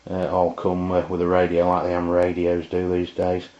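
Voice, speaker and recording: A man giving a casual, halting, unscripted product review as he goes. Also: low voice, monotone, dark tone. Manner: halting, hesitant delivery. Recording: dull lo-fi amateur video sound.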